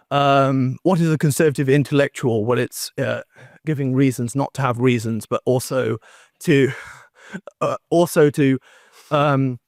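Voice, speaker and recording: A man giving an academic conference talk in a wry, self-deprecating, halting way, with joking asides. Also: mid-range voice, no echo, studio quality. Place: conference room, speaking standing before an audience.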